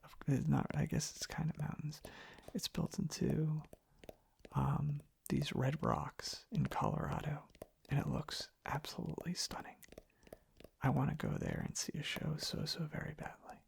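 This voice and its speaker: An ASMR host speaking softly in a hushed, reflective, unhurried ramble. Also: medium pitch, animated, vocal fry, breathy edge. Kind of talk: soft-spoken ASMR ramble.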